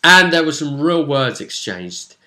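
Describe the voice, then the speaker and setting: A man with a British accent and a bright, forceful voice, talking casually as a game master. He retells a tabletop session in an animated way, slipping into quoted character lines. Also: a little high, unhurried. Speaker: tabletop role-play game master and co-host.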